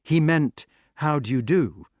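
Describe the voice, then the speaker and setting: A man's voice: a little high, animated, a little slow, a little mellow, dry recording, clean studio sound, voice pushed up. A man voicing a polite, earnest young boy in an audiobook, with a well-mannered way of talking. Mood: reassuring. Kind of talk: audiobook character voice.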